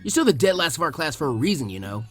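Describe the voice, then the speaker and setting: A rowdy young ninja boy, acted out by a man, taunting his rivals. The storybook delivery is pushy and animated, pitched on the high side. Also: rapid-fire, faint music behind. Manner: growling, taunting.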